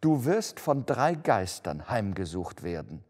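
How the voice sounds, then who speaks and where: A man's voice: lowish voice, big pitch swings, unhurried, a little mellow. Man voicing an anguished ghost in cavernous reverb. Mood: urgent, warning. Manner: solemn, declamatory, lamenting. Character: anguished male ghost in chains, stern and doomed. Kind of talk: dramatic storybook reading. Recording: long hall-like reverb.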